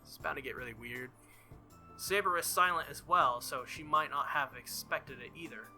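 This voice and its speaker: Man, let's-play host, higher-pitched bright voice, theatrical narration, casual asides, soft music behind. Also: moderate pace. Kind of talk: reading a visual novel aloud with casual asides. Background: faint game music.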